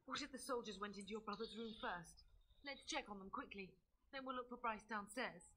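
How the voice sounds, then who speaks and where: A woman's voice: on the high side, brisk, pushed and bright. An actress playing an anxious but fierce noble mother in a fantasy video game, speaking with urgent, formal diction. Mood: urgent, concerned. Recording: noisy game audio with clicks.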